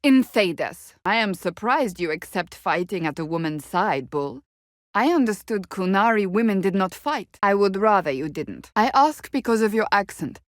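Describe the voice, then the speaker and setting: A woman's voice: medium pitch, lively intonation, normal speed, no echo, clean studio sound, natural voice. An actress playing a stern, devout, blunt warrior woman in a video game, speaking formally and earnestly in clipped phrases. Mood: sober, reserved. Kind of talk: video game character dialogue.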